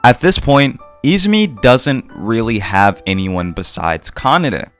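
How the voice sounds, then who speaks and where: A man narrating a scripted video essay in a reflective, measured way with deliberate pauses. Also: mid-range voice, animated, a bit bright, dry recording. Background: background music.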